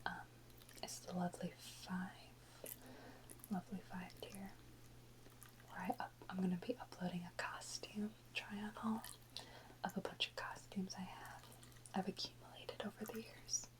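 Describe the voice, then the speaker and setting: A woman whispering softly and unhurriedly in a casual ASMR chat, close to the microphone. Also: mid-range voice, fairly even intonation, measured pace, long pauses, poor audio. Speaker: ASMR video host.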